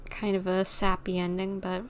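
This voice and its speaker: A woman's voice: mid-range voice, monotone, moderate pace, slight background noise. A woman livestreamer giving casual game commentary, reflecting and thinking aloud.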